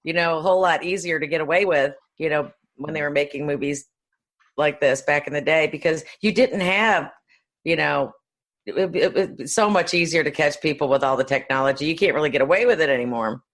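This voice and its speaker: A woman's voice: low voice, fairly quick. Woman in casual podcast chat. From northern New York State, United States. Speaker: podcast co-host.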